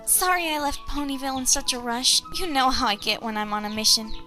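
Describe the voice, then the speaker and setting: A woman voicing an eager young female pony princess from a storybook, earnest and excitable, given to exclaiming. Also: sits high, brisk, soft background music, pitched above the performer's own voice. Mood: excited, apologetic.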